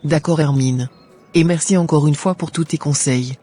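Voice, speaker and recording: A voice actor playing husky young churchgoer Nadège. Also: female voice, deep voice, moderate pace, warm tone. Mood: grateful. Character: young woman named Nadège, troubled churchgoer.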